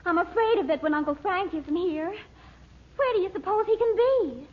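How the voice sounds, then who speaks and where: A timid, plaintive young girl in an old radio serial. Her voice is very high and apprehensive, and the recording is dull and muffled. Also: expressive, moderate pace, very noisy. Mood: frightened, stubborn. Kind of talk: 1930s-40s radio drama acting.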